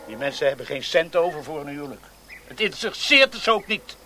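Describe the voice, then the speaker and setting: An actor playing a bitter, hot-tempered village friar and schoolmaster, scornful and scolding, in a radio drama. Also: male voice, a little high, big pitch swings, fairly quick, sharp tone, slight background noise.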